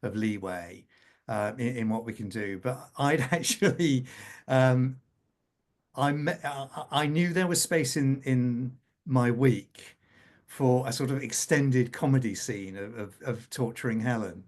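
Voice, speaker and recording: A man speaking as a scriptwriter in an unscripted panel conversation, halting and often repeating words as he goes. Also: mid-range voice, a little mellow.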